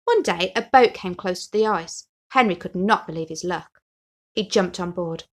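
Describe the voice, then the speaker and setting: A woman reading a children's picture book aloud as an expressive storyteller. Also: lowish voice, moderate pace. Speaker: story reader.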